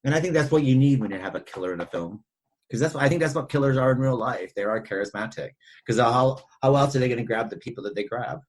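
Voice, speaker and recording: A man's voice: medium pitch, fast. A man co-hosting a podcast, chatting casually about films in a rambling, conversational way that circles back over his points. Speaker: podcast co-host. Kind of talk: casual film discussion chat.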